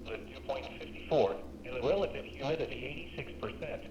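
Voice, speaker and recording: A man's voice: mid-range voice, quick pace, echoey room, highs cut off. A man's impersonal voice reading a formal weather forecast in clipped phrases over the radio. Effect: radio broadcast. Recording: noisy radio broadcast played nearby.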